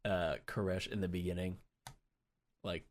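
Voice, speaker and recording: A man's voice: low-pitched, level tone, normal speed. A man co-hosting a gaming podcast, chatting casually and breaking into laughter often. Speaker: podcast co-host.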